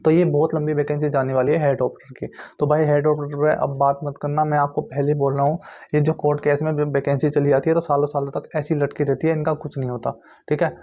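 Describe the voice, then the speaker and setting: Male, dark mellow tone, monotone delivery, informal online update, insistent and repetitive. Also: on the high side, fast. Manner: repetitive, insistent explaining with frequent check-in tags. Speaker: YouTube host giving exam recruitment updates. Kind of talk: informal explanatory update to viewers. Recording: muffled lo-fi recording.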